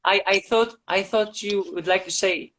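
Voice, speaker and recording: A tired man from Syria, a guest on a casual live-stream chat, who speaks haltingly and repeats his words. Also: high-pitched, fairly even intonation, a bit bright.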